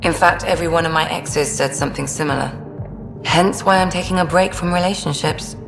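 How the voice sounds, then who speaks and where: Sardonic doctor lady, lowish creaky voice, defensive, game dialogue, echoey with music. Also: fairly even intonation, a little fast. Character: defensive, brooding woman ship's doctor, sardonic. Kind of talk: video game dialogue acting.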